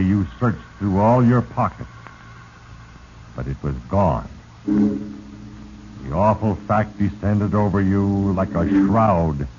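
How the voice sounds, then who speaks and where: Noisy old radio, sinister all-knowing narrator guy, very slow, dark mellow voice, heavy and ominous. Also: sits low. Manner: heavy-handed, ominous, deliberate. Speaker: host and narrator. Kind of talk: 1940s radio melodrama narration. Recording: noisy, dull 1940s radio recording.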